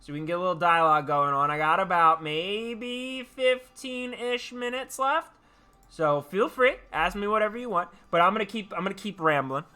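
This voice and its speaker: A man hosting a livestream, sounding tired yet animated, chatting casually and haltingly as he repeats his phrases. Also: high voice, smooth. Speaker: livestream host. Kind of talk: casual rambling chat to viewers.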